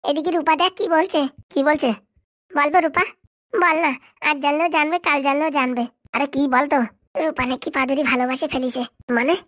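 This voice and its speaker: A woman's voice: high-pitched, fairly even intonation, fairly quick, a bit bright. A woman voicing a young school pupil in a comic cartoon, chattering. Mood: gossipy.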